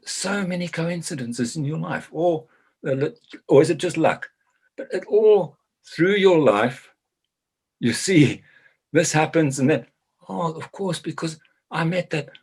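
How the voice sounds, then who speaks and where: Older south african man, husky voice, anecdotal and rambling, video-call talk. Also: medium pitch. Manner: rambling, anecdotal, self-correcting. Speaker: speaker. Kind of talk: short personal talk.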